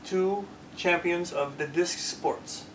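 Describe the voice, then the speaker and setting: A man's voice: high voice, slow pace, noisy background, pitched above the performer's own voice. A man putting on a mock Norwegian accent to introduce guests in a formal, announcer-like way, recorded outdoors from a distance. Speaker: guest disc golf player.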